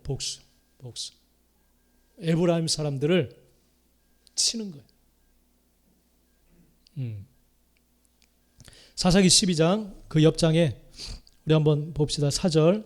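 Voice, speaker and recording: A middle-aged Korean man from the Chungcheong region, a pastor preaching a sermon who repeats key phrases and swings between loud exhortation and quiet asides. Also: on the high side. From Korea, Chungcheong province.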